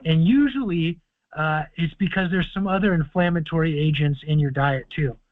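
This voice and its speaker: Male call-in host, higher voice, dry phone-line sound, confident long explanations. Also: normal speed, dry recording. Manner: confident, explaining at length with fillers. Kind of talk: casual call-in advice talk. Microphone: phone or conference call. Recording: tinny conference phone line.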